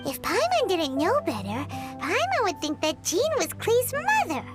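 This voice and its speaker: A woman's voice: extremely high pitch, wildly swooping pitch, normal speed, exaggerated voice. A woman voicing a tiny, cheeky, childlike fairy companion in a video game. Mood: curious, skeptical. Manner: speaks of herself in the third person. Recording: prominent tinkling music behind the voice.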